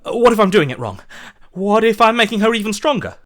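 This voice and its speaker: A man acting an earnest, wry hero's inner voice in a video game, with an animated, emphatic delivery. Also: high-pitched, brisk. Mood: panicked, urgent. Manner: animated, sarcastic, exasperated, emphatic. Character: earnest, wry young male hero as an inner voice.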